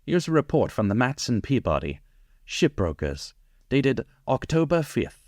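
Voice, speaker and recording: A man's voice: medium pitch, very animated, unhurried, a little mellow, no echo. A man playing an earnest diarist, narrating journal entries with clipped, grave urgency in a radio drama. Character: earnest male diarist reporting a tense pursuit.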